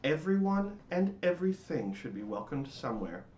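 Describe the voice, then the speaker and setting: A man giving a dramatic, theatrical reading of a children's storybook in measured phrases. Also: on the high side, sing-song, a little mellow, reverberant, highs cut off.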